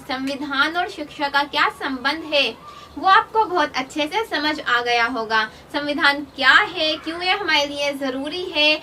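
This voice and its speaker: High, bright-voiced woman teaching. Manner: explains step by step, mixing English terms into Hindi; reads article titles slowly, then explains. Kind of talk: online lesson. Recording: distant mic with faint noise.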